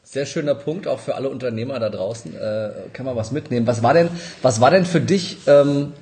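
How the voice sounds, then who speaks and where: A man's voice: mid-range voice, fairly quick, a little mellow. A man with a mid-range voice hosting a casual interview. He sounds eager and full of praise, tripping over and repeating words as he shapes his questions. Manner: enthusiastic, repeats words, stumbles. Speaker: interviewer, podcast host.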